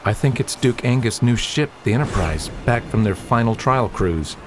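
A man playing a principled young nobleman in a radio drama, speaking formally and earnestly in a measured, argumentative manner. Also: medium pitch, animated, lightly acted. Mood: genteel. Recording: clearly noisy outdoor ambience.

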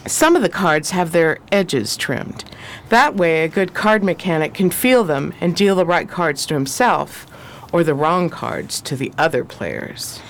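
A shrewd, steely adventuress played by a woman in a 1920s radio drama. She is commanding and slangy, with a somewhat low voice. The recording has faint background noise. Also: animated, measured pace. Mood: confident.

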